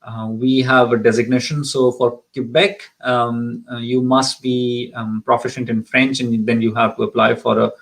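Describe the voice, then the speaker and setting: A man's voice: medium pitch, flat delivery, normal speed, gravelly, hardly any pauses, low-quality recording. A man from Pakistan hosting a live question-and-answer session as an immigration consultant, speaking with frequent ums and restarts. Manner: hesitant, many ums and restarts.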